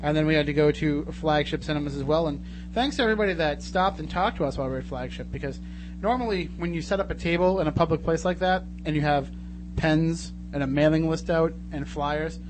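A man hosts casual live talk radio in a fairly high voice. He talks fast and chattily, with a joking streak. There is clear noise and hum behind him. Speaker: radio show host.

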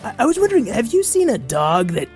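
A man with a creaky voice and an excitable, theatrical manner, hosting and acting out an improvised adventure story for children. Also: extremely high pitch, fast, soft background music. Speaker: host and storyteller. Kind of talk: improvised kids' adventure story, narrated and acted.

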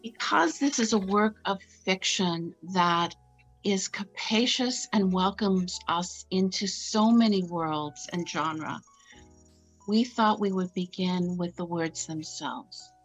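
Unhurried woman interviewer. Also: lowish voice. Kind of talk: interview questions. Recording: dull lo-fi sound.

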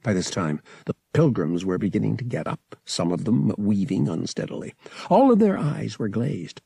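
A man narrating fiction as an audiobook reader, with an expressive, theatrical storytelling manner. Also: on the low side, vocal fry, slightly rough, no echo. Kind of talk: dramatic scripted fiction narration.

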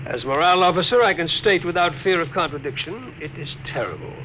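A male actor plays a sceptical, worried ship's doctor in classic science fiction radio. His voice sits a little high and moves up and down a lot, with theatrical, wry emphasis. The old recording is clearly noisy. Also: animated, fast, natural voice. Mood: wry, gloomy. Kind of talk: old-time radio drama acting.

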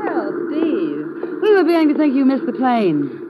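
High, lively radio-drama young lady. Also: moderate pace, heavy background noise. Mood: curious. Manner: chatty, teasing. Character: breezy, sociable young woman traveller, a looker. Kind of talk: old-time radio drama acting. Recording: muffled vintage radio recording, music behind.

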